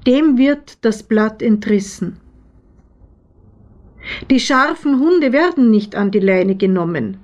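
A woman reading her own poems in a measured, deliberate way with pauses between phrases. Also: on the high side, darkish tone, muffled recording. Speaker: poet, author reading own work. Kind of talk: literary poetry reading.

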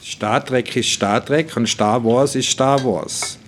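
A man's voice: mid-range voice, fairly even intonation, takes it slowly, slight background noise, natural voice. A man playing a pedantic crew member and science fiction fan, speaking emphatically in a radio play. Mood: emphatic.

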